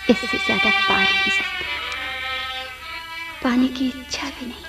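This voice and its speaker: An actress playing a young ghost woman in a radio drama, pleading and bitter, with a breathy, dramatic delivery that drops into whispers. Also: extremely high pitch, lively intonation, measured pace, loud music behind the voice, exaggerated voice. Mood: pleading, yearning. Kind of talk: Hindi radio play acting.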